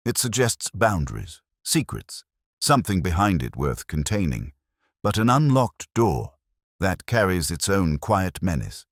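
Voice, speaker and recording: A man as very deep, brooding detective inspector. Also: no echo, studio quality, full character voice. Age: middle-aged. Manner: measured, brooding, literary delivery. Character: male weary, reflective, literary British police inspector narrating his case. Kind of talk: scripted first-person detective narration.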